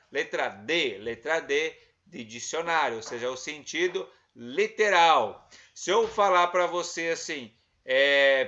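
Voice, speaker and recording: A man teaches a recorded lesson in a slightly high, bright and forceful voice. He explains each point and asks his listeners rhetorical questions. The audio sounds a little dull and lo-fi. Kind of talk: recorded online class lecture.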